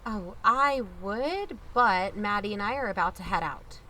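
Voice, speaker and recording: A protective, watchful aunt, played by a woman in a mystery audio drama. Her voice is bright and forward, conversational and wry, over faint background noise. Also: mid-range voice, expressive, measured pace, rough recording. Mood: wary. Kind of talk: first-person audio drama narration.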